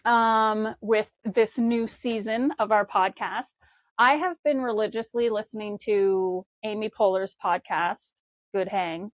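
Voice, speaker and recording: A woman's voice: mid-range voice, slow, dry recording. A woman co-hosting a casual podcast from home, chatty and rambling, laughing easily. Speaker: podcast co-host. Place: home with a wood stove.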